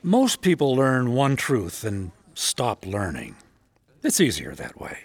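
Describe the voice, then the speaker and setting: Wise old rabbi in a bible radio play, husky, wry n gentle, voice moves up and down a lot. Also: male voice, mid-range voice, wildly swooping pitch, heavily acted. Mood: gentle, knowing. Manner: measured, gently questioning. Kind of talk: dramatized biblical audio drama.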